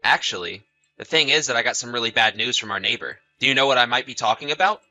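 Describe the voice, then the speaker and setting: A man's voice: medium pitch, lively intonation, fast, sharp tone, soft background music, low-quality recording. A man acting a betrayed husband in a dramatized story, polite but suspicious and accusing, with a theatrical, emphatic delivery. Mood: guarded. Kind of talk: dramatized text-message story narration.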